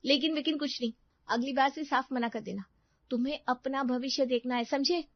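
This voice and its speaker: A woman acts out a wary young woman student in a cartoon moral tale. She speaks high and emphatically, admonishing a naive friend. The track is dry and slightly dull. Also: steady tone, brisk, no room sound. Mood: angry, scolding.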